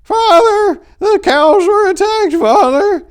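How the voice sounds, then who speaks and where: A man voicing a shrill, hysterical old farm wife who hollers frantically in a dramatic story reading. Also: animated, slow pace, full character voice. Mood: panicked crowing.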